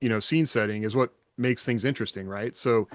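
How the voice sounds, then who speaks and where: A man's voice: mid-range voice, a little fast, no echo, studio quality. A man in a casual podcast panel discussion, explaining his thinking reflectively and often checking in with rhetorical questions.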